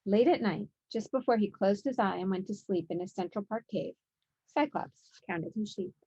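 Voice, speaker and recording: Female children's author, mid-pitched voice, storybook read-aloud narration. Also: moderate pace, warm tone, lo-fi sound, a little hiss or hum. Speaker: children's author reading own book.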